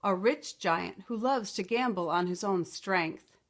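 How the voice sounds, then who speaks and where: A woman voicing a poor, worried mother in a storybook, gently cautioning and resigned. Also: mid-range voice, measured pace.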